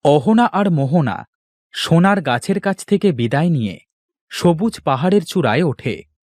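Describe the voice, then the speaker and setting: A man's voice: fairly high pitch, expressive, unhurried, a little mellow, no room sound, studio quality. A man narrating a scripted cartoon fairy tale as a storyteller.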